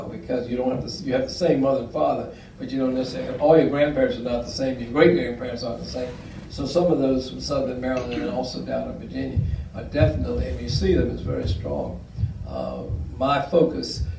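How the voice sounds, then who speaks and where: A man's voice: medium pitch, gravelly, dark tone. An older man giving a public talk and taking audience questions. He rambles as he tells stories, with frequent fillers. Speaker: guest speaker. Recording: echoey room, distant mic, faint noise.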